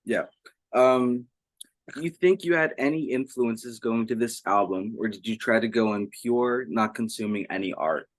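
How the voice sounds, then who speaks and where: A man's voice: mid-range voice, moderate pace, no echo. A man interviewing someone casually and reading out fan questions.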